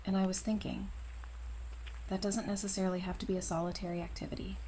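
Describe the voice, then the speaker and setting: Audio drama role: easygoing, wry female survivor played by a woman, mid-pitched, noticeable ambient noise. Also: level tone, fast, mellow, lightly acted, low-quality recording. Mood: casual, inviting. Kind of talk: audio drama acting, casual conversation.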